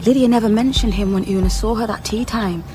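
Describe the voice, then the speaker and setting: An actress playing a guarded undercover policewoman, measured and questioning, in a naturalistic radio drama. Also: medium pitch, music in the background, close to an everyday voice. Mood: brisk, intent. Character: guarded undercover policewoman posing as a nanny, probing.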